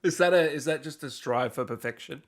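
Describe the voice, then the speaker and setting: A man's voice: on the high side, fairly quick. A man interviewing casually in a matey, admiring manner. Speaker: interviewer, host.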